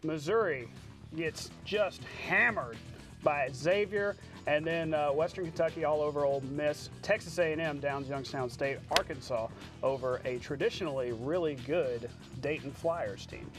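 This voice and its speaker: A man with an American accent anchoring a sportscast, calling highlights with playful, jokey asides. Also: moderate pace, over music. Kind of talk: highlight commentary and studio banter. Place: TV studio.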